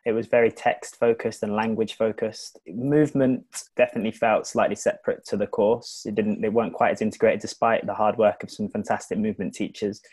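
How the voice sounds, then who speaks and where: A young man answering interview questions in a measured, articulate way. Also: on the low side, steady tone, a little mellow, husky, no room sound. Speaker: guest actor and theatre maker.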